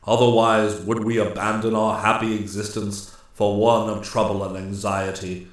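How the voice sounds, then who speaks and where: A man voicing a grave, stern old immortal ruler of the forest, speaking in a solemn, deliberate, commanding way for a storybook. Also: fairly low pitch, level tone, very echoey, far from the mic, natural voice.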